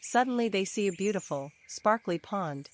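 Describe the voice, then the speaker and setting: A woman narrating a scripted children's bedtime story in simple, deliberate sentences. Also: medium pitch, expressive, a little hiss or hum. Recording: faint birdsong and nature ambience. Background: birdsong, crickets, forest ambience.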